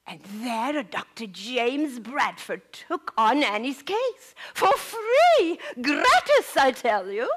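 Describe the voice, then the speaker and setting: A woman's voice: a little high, exaggerated voice. A woman playing the polite wife of a school principal in a theatrical historical monologue.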